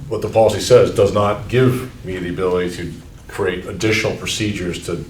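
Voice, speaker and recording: Deep voiced superintendent at a board meeting, hesitant, echoey room, mic far off. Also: male voice, a little fast, slight background noise. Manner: hesitant, thinking aloud with restarts. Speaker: school superintendent.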